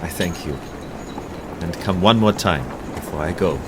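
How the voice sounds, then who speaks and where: A man playing a gentle, courteous, lovestruck German professor in an audio play, with a German accent and formal, halting phrasing. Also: sits low, background noise, close to an everyday voice.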